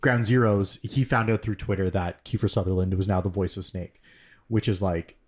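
A man's voice: deep voice, steady tone, moderate pace. A man co-hosting a casual gaming podcast, explaining at length with lots of fillers and restarts. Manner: rambling, filler-heavy explanations. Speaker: podcast co-host. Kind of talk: casual chat.

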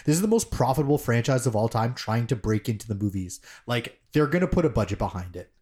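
Guy cohosting a podcast, rambles a lot, tons of "like", swears casually, average pitch. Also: expressive, fast, a little mellow. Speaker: podcast co-host.

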